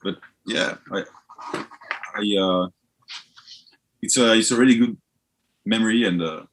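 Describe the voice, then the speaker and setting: French band guy, low voice, kinda halting, chatting in interview. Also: poor audio. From France. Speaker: band member, interview guest. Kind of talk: casual interview chat.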